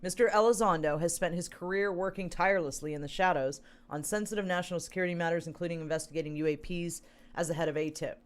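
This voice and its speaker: A woman co-hosting a casual talk show, hoarse and losing her voice, reading news stories aloud with lots of fillers and throat clearing. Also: on the low side. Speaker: co-host.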